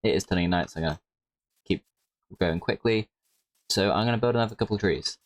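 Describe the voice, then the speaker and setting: A man giving casual, rambling commentary over his gameplay, his voice a little hoarse. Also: fairly low pitch, fast talker, no room sound. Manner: rambling, self-correcting, with frequent "like". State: slightly hoarse. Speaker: Minecraft creator.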